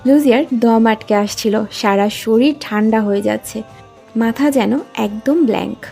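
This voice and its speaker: A woman tells a scripted romance story in a high voice with noticeable creak. Background music plays under the narration. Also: normal speed, warm tone.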